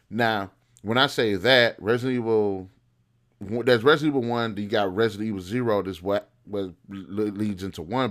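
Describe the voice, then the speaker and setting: A man with a fairly low voice talks like the host of a review show. His commentary is casual and opinionated, in colloquial phrasing. He often circles back to repeat or reword what he just said. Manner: informal, colloquial, repeats and rephrases himself.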